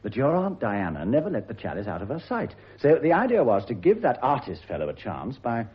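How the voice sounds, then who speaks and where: An actor playing an urbane, genial gentleman detective with a British accent in a radio drama, light, wry and polite. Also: medium pitch, wildly swooping pitch, a little fast, lo-fi sound.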